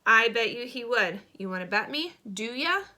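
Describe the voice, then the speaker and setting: A woman voicing an excitable, goofy and stubborn schoolboy in a storybook, insistent and clowning. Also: a little high, normal speed. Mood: mock-serious insistence.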